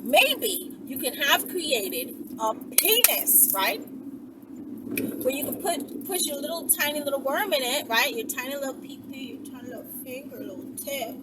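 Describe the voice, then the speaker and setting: High-pitched, animated woman, teasing and mocking. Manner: mocking, playful, drawn-out rhetorical questions. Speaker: online video creator. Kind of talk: casual teasing monologue to viewers. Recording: distant mic, loud background noise.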